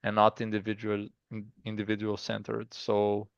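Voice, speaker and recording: A man with a Brazilian accent, a guest in a casual interview, who talks haltingly and often restarts with "like". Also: lowish voice, completely flat delivery, gravelly, clean tone, no echo, highs cut off. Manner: halting, stops and restarts, says 'like' often. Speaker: guest artist.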